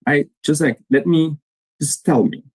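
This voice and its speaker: A man's voice: a little high, a little slow, breathy edge, no echo. A man, giving an earnest conference talk to students and restarting his phrases often. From Colombia. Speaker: guest speaker.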